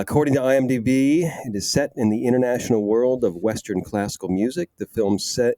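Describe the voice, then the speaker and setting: A man's voice: fairly low pitch, mellow, dry recording. A man hosting a casual movie podcast, easygoing and chuckling as he reads the film's summary. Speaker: podcast host.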